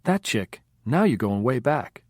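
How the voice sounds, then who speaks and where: A man voicing a spirited young woman who is a punk fan, in an emphatic, lively way. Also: mid-range voice, unhurried, no room sound, studio quality, voice pushed up. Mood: insistent.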